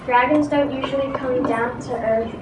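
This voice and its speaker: A woman's voice: high voice, steady tone, normal speed. A female performer reading narration and lines in an amateur readers theatre show. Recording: very noisy echoey room, distant mic.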